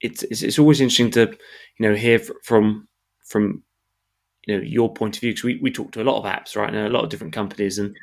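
Man, podcast host, fairly low voice, casual, repeats words as he goes. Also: fairly even intonation, quick pace, creaky. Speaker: podcast host, sales director. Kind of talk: business podcast interview.

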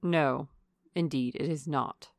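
Woman voicing a low, grave, courteous gentleman colonel. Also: slow pace, gravelly, dry recording, clean studio sound, exaggerated voice. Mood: guarded, polite. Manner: formal, restrained, polite.

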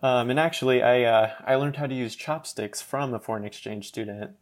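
A man in his twenties from Los Angeles talks in a halting, casual way, full of fillers. He laughs easily as he chats. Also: medium pitch. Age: 20s. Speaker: podcast guest. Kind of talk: casual conversation.

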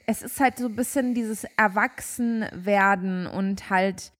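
A woman from Germany speaks in a loose, relaxed way. She fills her sentences with soft fillers and mostly agrees with the person she is talking to. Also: medium pitch, measured pace. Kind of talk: casual conversation.

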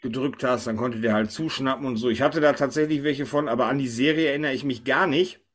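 A man's voice: medium pitch, fast. A man hosting a podcast, chatting casually and informally about pop culture with his co-hosts. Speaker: podcast host.

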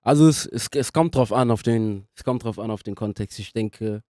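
A young man speaking casually as a podcast guest, in a halting way as he searches for words. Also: fairly low pitch, mellow, no echo, studio quality. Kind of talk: casual interview conversation.

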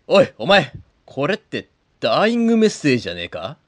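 A man playing a blunt, rough-spoken middle-aged adventurer in a comedic audio drama. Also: a little high, wildly swooping pitch, fast talker, dry recording. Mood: brusque.

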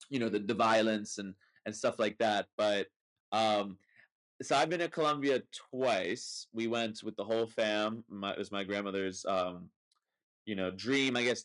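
A man's voice: fairly low pitch, fairly bright tone. A young man with an American accent hosting a podcast in an informal, rambling, conversational way. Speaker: podcast host. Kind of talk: casual chat.

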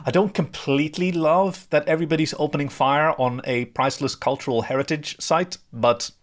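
A man's voice: fairly high pitch, fairly even intonation, fairly quick. A man co-hosting a podcast, retelling the story in a wry, storytelling way with dry asides. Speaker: podcast co-host. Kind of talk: casual recap narration and chat.

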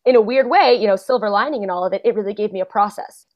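A young adult woman, a guest actor and playwright, chatting casually in an interview, full of fillers and quick laughs. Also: mid-range voice. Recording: dull lo-fi podcast audio.

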